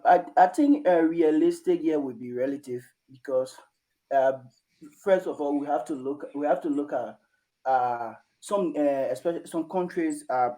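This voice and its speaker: A man from Liberia speaking at an online youth summit, halting and full of fillers, over a video call. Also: a little high, warm tone, poor audio. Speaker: summit participant. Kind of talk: casual online discussion.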